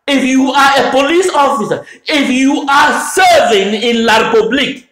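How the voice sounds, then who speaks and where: A man from Anglophone Cameroon giving a fiery political address, shouting and repeating his demands in a declamatory way. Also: sits high. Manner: shouting, repetitive declamatory oratory. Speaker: political activist making a public address. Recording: echoey room.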